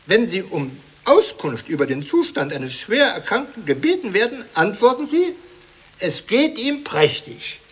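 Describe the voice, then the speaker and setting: An actor playing a ceremonious, excitable academy chairman in an absurdist radio comedy, pompous and declamatory. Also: sits high, a little slow, husky, exaggerated voice. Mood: pompous, lecturing. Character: ceremonious, excitable academy chairman presenting his eminent colleagues. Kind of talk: 1980s German absurdist radio comedy acting.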